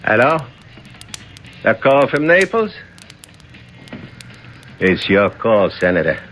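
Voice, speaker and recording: An actor playing a brassy, sardonic American nightclub owner with a mocking, slangy swagger in a 1970s radio drama. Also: male voice, mid-range voice, sing-song, takes it slowly, music in the background. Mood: breezy, sly.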